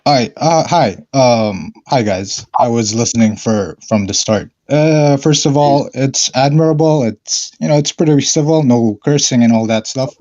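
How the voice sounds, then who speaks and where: A man calling into an online discussion, speaking hesitantly with many fillers and frequent throat clearing. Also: mid-range voice, a little slow, few pauses, a little mellow, highs cut off. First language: not Arabic. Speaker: caller. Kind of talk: casual debate call-in.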